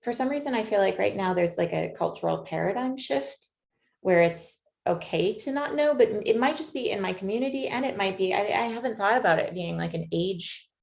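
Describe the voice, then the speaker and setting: A woman's voice: lowish voice, gravelly, a little mellow. A woman in her late thirties interviewing a guest, thinking aloud and searching for words as she asks reflective questions. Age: late 30s. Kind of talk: reflective conversation.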